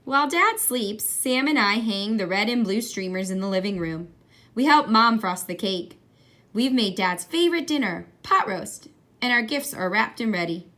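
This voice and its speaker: Woman doing a kids book read aloud, really bright voice, expressive. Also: mid-range voice, moderate pace. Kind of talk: expressive children's picture book reading.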